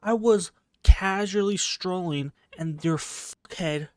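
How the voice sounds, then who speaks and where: A woman's voice: fairly low pitch, slow, dark tone, no echo, studio quality. A woman giving casual game playthrough commentary, sarcastically talking back to the characters and swearing freely. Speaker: gaming video host.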